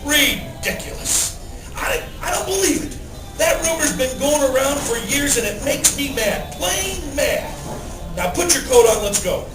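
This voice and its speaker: Man doing a feisty, high-pitched indignant old grandma. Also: very animated, measured pace, exaggerated voice. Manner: emphatic, snorting, bossy. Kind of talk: storytelling. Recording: roomy, distant microphone, music underneath.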